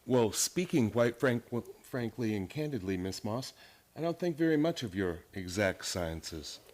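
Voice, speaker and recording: A man's voice: medium pitch, lively intonation, a little slow, darkish tone, natural voice. Actor as an intense young male teacher, lecturing. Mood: earnest, lecturing. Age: young adult. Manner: earnest, lecturing, formal. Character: intense, idealistic young male psychology teacher obsessed with mind power. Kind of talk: radio drama acting.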